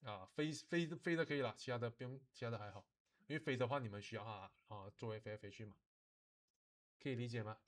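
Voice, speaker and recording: A man gives an informal, step-by-step software tutorial to students, switching between languages and often checking that they follow. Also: medium pitch, quick pace. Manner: switches between Mandarin and English, repeating explanations. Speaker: animation software instructor.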